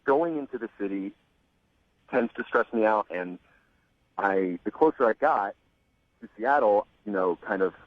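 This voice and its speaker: A man on a phone call to a radio show, speaking hesitantly with many pauses, restarts and "um"s. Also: lowish voice, lively intonation, dry recording. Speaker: caller on the phone. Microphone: telephone. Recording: muffled phone line.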